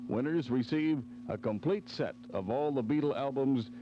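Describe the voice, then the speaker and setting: An American man working as a 1970s pop radio DJ, running a phone-in giveaway and repeating details deliberately. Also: mid-range voice. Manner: repeats and spells things out deliberately. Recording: noisy, dull old AM radio aircheck with hum.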